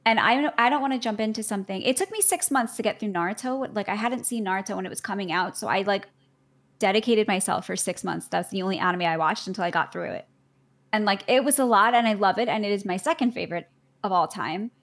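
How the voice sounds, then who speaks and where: A young adult woman talks casually as a guest on a chat stream. She rambles, circling back with "like" and restarts, in an ordinary middle-pitched voice. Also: a bit bright. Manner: chatty and rambling, heavy use of "like", quick to laugh.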